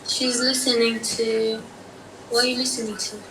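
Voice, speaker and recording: 20 yr old girl on video chat, kinda high voice, far from the mic, noisy. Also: flat delivery, moderate pace. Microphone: video call. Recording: distant noisy video call audio.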